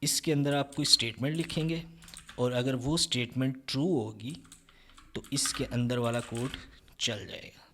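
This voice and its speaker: A young man explaining programming step by step in a relaxed screen-recorded lesson, often checking in with listeners. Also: mid-range voice, unhurried, a little hiss or hum. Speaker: programming tutor.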